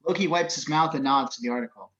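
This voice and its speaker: A man's voice: fairly high pitch, fast talker, fairly bright tone, highs cut off, a little hiss or hum. A man narrating screenplay action descriptions as scripted narration. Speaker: narrator of stage directions.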